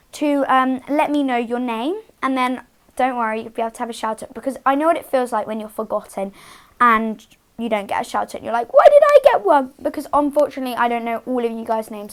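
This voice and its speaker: A woman hosting storytime, speaking to young children in an expressive read-aloud style with dramatic emphasis on key words. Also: high-pitched. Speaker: children's storytime host and reader.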